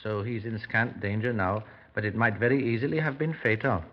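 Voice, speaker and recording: An actor playing a measured, cautious doctor, firm at the bedside, in an old radio drama. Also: male voice, lowish voice, lightly acted. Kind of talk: 1950s radio drama acting. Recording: dull old 1950s radio recording.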